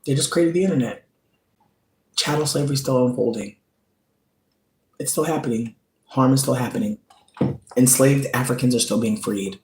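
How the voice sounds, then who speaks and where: A man's voice: mid-range voice, normal speed, long pauses, a little mellow. Channel host, male, earnest and pleading, repeats himself, room echo. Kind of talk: direct-to-camera commentary.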